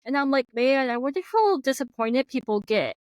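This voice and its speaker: A woman's voice: high-pitched, animated, normal speed, clean tone, no echo. A woman from Florida with a Floridian American accent, hosting a casual podcast chat and filling her talk with "like" and "yeah.". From Florida, United States. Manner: chatty, lots of "like" and "yeah". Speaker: podcast host. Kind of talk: casual conversation.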